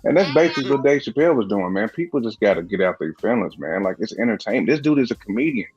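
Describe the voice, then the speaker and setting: A man's voice: lowish voice, lively intonation, fast talker, a little mellow, breathy edge, highs cut off, soft background music. A man co-hosting a casual podcast, talking emphatically in slang and laughing between his points. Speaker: podcast co-host.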